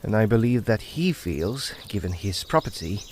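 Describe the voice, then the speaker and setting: A man's voice: lowish voice, sing-song, moderate pace, darkish tone, slight background noise. An actor playing an earnest, devoted young man in a radio drama, speaking with an old-fashioned turn of phrase. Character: young male village lover, earnest and devoted.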